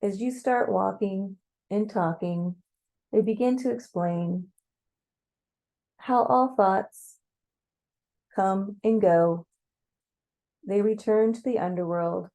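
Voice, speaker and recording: A woman narrating a guided meditation as a story in the second person, conversational and playful, with gentle pauses. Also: medium pitch, rough recording. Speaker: storyteller leading a guided visualization.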